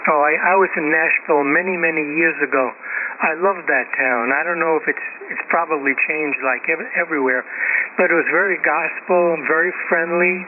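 A man's voice: fairly high pitch, normal speed. Older man on crackly shortwave radio. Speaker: amateur radio operator. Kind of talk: casual radio chat. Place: received over shortwave radio. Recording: noisy, narrow-band shortwave ham radio audio.

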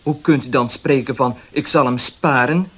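An actor playing a guarded young baker in a 1970s radio drama, speaking plainly and carefully. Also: male voice, mid-range voice, moderate pace, fairly bright tone, slightly rough.

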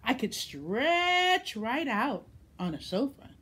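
A woman's voice: high-pitched, big pitch swings, takes it slowly, fairly bright tone, breathy edge, pitched above the performer's own voice, heavily acted. A woman voicing a reluctant, fussy little frog from a storybook, plaintive and questioning. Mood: hopeful, questioning.

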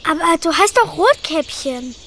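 A woman playing Janno, a curious, impulsive young rescuer in a children's radio play, asking quick questions. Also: extremely high pitch, animated, a bit bright, slight background noise, full character voice.

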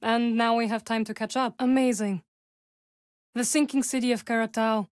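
A composed young witch from a video game, as a woman voices her, with a slightly high voice and measured, formal phrasing. Her humor is dry and understated. The close studio sound is very dry, with no room around it. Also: steady tone, a little mellow, dry recording, natural voice. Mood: calm. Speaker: game companion character. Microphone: close studio mic.

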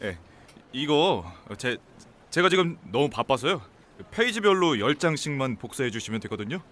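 A man's voice: medium pitch, big pitch swings, normal speed, a bit bright. An actor playing a friendly, chatty and easily flustered young male office worker in a Korean audio drama. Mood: busy, flustered.

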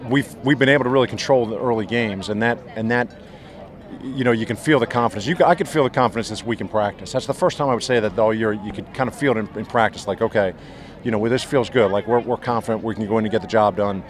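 Fast-talking, lower-voiced football coach. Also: male voice. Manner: fast, rambling, many restarts. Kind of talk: postgame interview. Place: outdoors on a football field. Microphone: handheld mic held by interviewer.

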